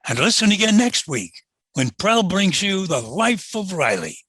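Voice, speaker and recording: Bright, high-pitched male radio announcer. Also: very animated, a little slow, slightly rough, no echo. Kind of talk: 1940s network radio sign-off, scripted.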